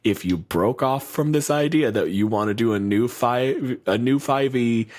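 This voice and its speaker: A man's voice: medium pitch, normal speed, a little mellow. A man co-hosting a podcast, talking in blunt, profane, argumentative casual chat. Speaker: podcast co-host.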